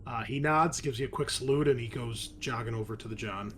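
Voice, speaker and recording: A man acting as game master, casually improvising narration for a tabletop game in a halting, trailing delivery. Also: medium pitch, fairly bright tone, slight background noise.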